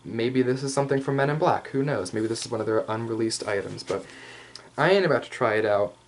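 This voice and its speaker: Male, rambling ASMR roleplay host, lower-pitched than nobody in particular, mid-range voice, jokey and sweary, noisy background. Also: fairly quick. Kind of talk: improvised ASMR roleplay, casual show-and-tell.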